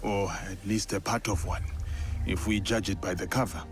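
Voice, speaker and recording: An actor playing a calm, earnest man in a video game, speaking slowly and thoughtfully with hesitations. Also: on the low side, close to an everyday voice. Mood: thoughtful, halting. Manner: slow, hesitant, thoughtful reader. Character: calm, earnest male companion translating an old journal. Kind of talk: video game cutscene acting. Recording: music under the voice.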